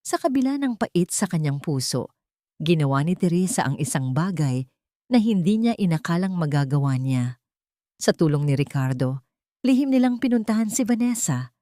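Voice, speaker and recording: A woman reads a dramatic audiobook story in a low voice. Her delivery is solemn and deliberate, following a written script. The recording is dry and close, with very little room sound. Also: very animated, mellow, no room sound. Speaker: audiobook narrator.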